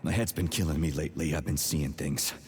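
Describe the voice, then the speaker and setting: A stubborn, cynical military man speaks bluntly and colloquially. His deep voice carries a long reverb, with the gruff, sardonic delivery of a video game hero. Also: steady tone, brisk, darkish tone. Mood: weary, guarded. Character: gruff, cynical, foul-mouthed male soldier, stubbornly loyal. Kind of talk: dramatic cutscene acting.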